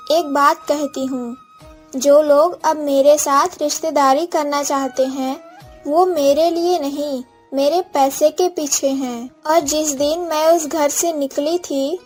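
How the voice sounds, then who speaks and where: A woman voicing a wronged but proud orphan village girl in a Hindi moral-story cartoon, speaking earnestly and dramatically. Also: sits high. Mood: bitter, resolute.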